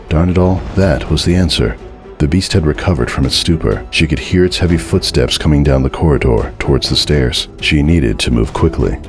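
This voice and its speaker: A man narrating a horror story as a dramatic reading. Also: very deep voice.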